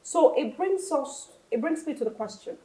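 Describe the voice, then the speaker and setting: A Nigerian woman hosts a talk show, her voice a little high and lively as she poses rhetorical questions. The room sounds echoey around her. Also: normal speed. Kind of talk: studio talk show discussion.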